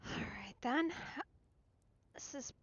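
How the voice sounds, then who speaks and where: Slow-paced, high-voiced woman streamer. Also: expressive, breathy edge. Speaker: game streamer. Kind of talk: casual reaction commentary. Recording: dull band-limited sound, music behind. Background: game music.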